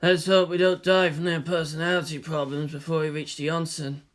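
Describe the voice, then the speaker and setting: A man's voice: a little high, flat delivery, brisk, close to an everyday voice. A man voicing a casual, complaining teenage boy. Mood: weary. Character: plain-spoken, bored teenage boy.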